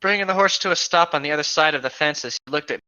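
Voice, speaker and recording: A man's voice: a little high, fast talker, bright, forceful tone, clean tone, dull audio. A man reading a story aloud and breaking off for casual, joking commentary. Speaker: reader on a bad-fanfiction reading show.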